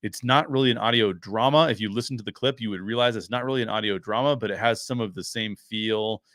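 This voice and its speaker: Casting: male show host, lowish voice, conversational and thinking aloud, very dry recording. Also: quick pace, a bit bright, no echo. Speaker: host of a pop culture review show. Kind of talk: casual solo commentary to viewers.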